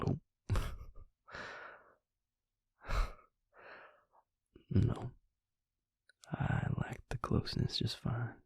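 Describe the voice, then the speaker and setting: A man playing an affectionate, laid-back vampire mate in an intimate roleplay audio, speaking in a breathy, gravelly murmur with tender, hesitant pauses. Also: lowish voice, darkish tone, dry recording, full character voice. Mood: tender, cozy.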